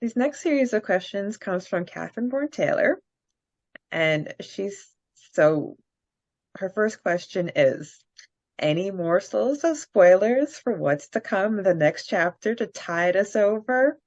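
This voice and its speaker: A woman co-hosting a podcast, reading fan answers aloud and breaking into excited laughter. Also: mid-range voice, measured pace, no echo, dull audio. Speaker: podcast co-host.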